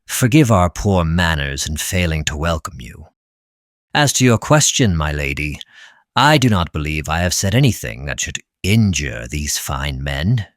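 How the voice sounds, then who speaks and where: A man's voice: low-pitched, no echo, clean studio sound. A man playing a sardonic, mocking knight in an audio drama, with sly and theatrical mock courtesy. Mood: smug. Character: Sir Kay, sardonic mocking male knight.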